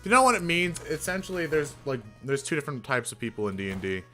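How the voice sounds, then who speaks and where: A young man streamer chatting casually, rambling with fillers and casual swearing, with a fairly deep voice. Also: big pitch swings, fast, bright, forceful tone, faint music behind. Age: young adult. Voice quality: somewhat deep voice. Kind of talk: casual live-stream chat.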